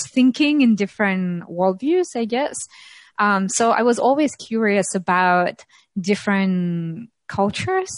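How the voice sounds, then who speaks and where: A woman's voice: on the low side, clean tone, dry recording. Thoughtful woman in conversation. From Russia. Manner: thoughtful, many pauses and ums, light laughs. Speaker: interview guest, anthropologist. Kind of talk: reflective interview talk.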